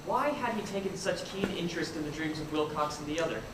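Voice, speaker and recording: An actor as a pensive scholar narrates a horror tale in radio-play style, his voice slightly high for a man. The recording is roomy and distant, with clear noise behind it. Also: lively intonation, quick pace, close to an everyday voice. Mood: grave, measured. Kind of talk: dramatic narration in 1920s radio-play manner.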